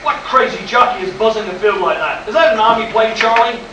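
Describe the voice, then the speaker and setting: An actor in a live amateur radio play, playing a gruff, anxious, aging chief of flight control. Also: male voice, high voice, a little fast, bright, forceful tone, dull audio. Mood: urgent, relieved. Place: live stage at a convention. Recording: distant, echoey live hall recording with noise.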